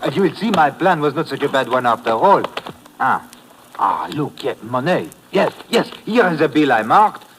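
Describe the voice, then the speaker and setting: An actor playing an excitable, scheming newspaperman with a French accent in an old radio drama, flustered and exclaiming. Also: mid-range voice, normal speed. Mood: excited, anxious. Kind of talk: 1950s radio drama acting. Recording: noisy vintage radio recording.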